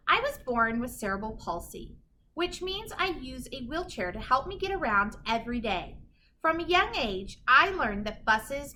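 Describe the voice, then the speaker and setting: A woman with a high-pitched, bright voice reads a children's story aloud. Her delivery is expressive and deliberate, stressing key words for young listeners. Faint noise sits behind her voice. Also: low-quality recording. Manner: animated, emphatic, deliberate storytelling phrasing. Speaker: children's story reader, teacher. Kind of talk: expressive read-aloud of a children's picture book.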